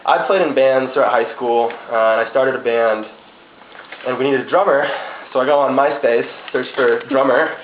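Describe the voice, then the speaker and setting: A man in his twenties, a bass player, chatting casually and jokingly in a group interview. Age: 20s. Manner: laid-back, joking, rambling. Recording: echoey room, dull lo-fi sound, noisy.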